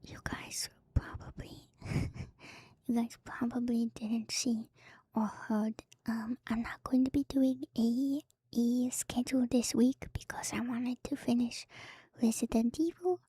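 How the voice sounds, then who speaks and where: A woman livestream host chatting in a soft ASMR style, moving between whispers and quiet speech, very close to the mic with audible mouth noises. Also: fairly high pitch, fairly even intonation, slow, husky, dry recording. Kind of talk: whispered ASMR stream chat. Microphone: very close microphone with gain turned up, mouth noises. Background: music.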